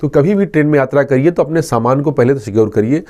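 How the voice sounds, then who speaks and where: A man's voice: mid-range voice, fast talker, a little mellow. A man answering interview questions as a police inspector, earnestly instructing and repeating his key points. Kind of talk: explanatory interview answers with safety advice.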